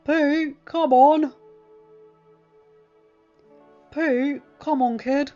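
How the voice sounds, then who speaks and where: Woman as insistent, protective male bodyguard, loud. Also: sits high, fairly even intonation, slow pace, soft background music, voice pushed up. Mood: urgent concern. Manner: urgent, emphatic, demanding. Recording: dull lo-fi recording.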